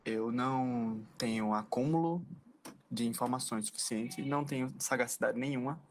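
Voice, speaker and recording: Recife-accented male listener, informal voice message, average pitch, faint noise in an amateur recording. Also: normal speed. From Recife, Brazil. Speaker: podcast listener sending a voice message.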